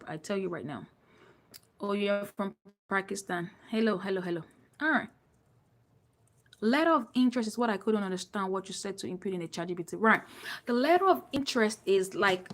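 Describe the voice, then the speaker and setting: A woman's voice: mid-range voice, fairly bright tone. A woman with a Nigerian accent coaching informally in a live online session, emphatic and repeating phrases for stress as she answers questions. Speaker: business coach.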